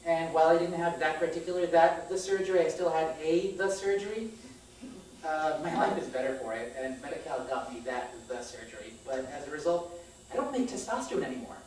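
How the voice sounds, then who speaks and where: A woman's voice: deep voice, expressive, fairly quick, darkish tone. A woman in her thirties reading a candid, emphatic personal monologue on a stage. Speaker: spoken-word storyteller on stage. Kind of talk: personal monologue read aloud to an audience. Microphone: far camera microphone. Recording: echoey theater, distant mic, faint noise.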